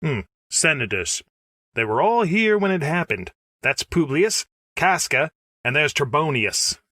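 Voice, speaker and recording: An actor playing an eager, excitable Roman senator with slangy, earnest delivery in old-time radio comedy style. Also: male voice, on the high side, measured pace, dry recording, full character voice. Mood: confiding.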